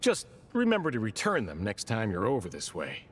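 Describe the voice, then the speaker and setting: A man playing a kindly, helpful gentleman in a video game, speaking in a friendly, polite way. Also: on the low side, wildly swooping pitch, fairly quick, husky, in a large hall, soft background music, heavily acted.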